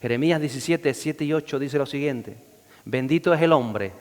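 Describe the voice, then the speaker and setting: A man's voice: on the high side, moderate pace, a little mellow. A man, a pastor, preaching a sermon and lifting his voice on scripture quotes. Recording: long reverb of a hall.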